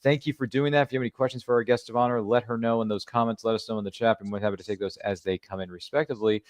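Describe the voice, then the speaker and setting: A man's voice: mid-range voice, fairly even intonation, racing, darkish tone, no echo, studio quality. A man hosting an interview show, chatty and playful, fond of references and catchphrases. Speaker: podcast host. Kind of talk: casual interview chat.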